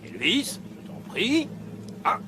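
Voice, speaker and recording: An actor playing a jolly, sentimental older fire chief in a French cartoon dub, with animated, theatrical delivery. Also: male voice, extremely high pitch, slow, noisy background, exaggerated voice. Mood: kindly authority. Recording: music behind the voice.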